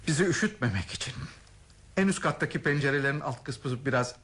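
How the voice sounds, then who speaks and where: A man's voice: on the high side, lively intonation, fairly quick, a bit bright. A man acting in a radio play as an older head of a household, grieving and agitated, speaking in a pleading, lamenting way. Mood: grieving, regretful.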